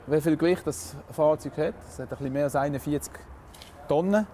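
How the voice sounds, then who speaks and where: Mellow Swiss policeman, slightly high voice. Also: brisk. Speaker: motorway police officer. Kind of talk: casual explanation in interview. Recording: faint outdoor traffic noise.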